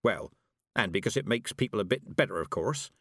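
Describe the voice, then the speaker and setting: A man's voice: fairly low pitch, animated, a little fast, slightly rough, no echo, studio quality. A man voicing a stern, blunt, proud old country witch woman with emphatic rustic speech, in audiobook style. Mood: firm, wry. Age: older. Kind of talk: audiobook character acting.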